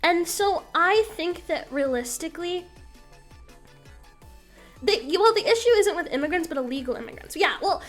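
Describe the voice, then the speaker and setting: High-voiced young woman streamer, blunt. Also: fairly bright tone. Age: young adult. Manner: candid, blunt, swears casually. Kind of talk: casual stream talk.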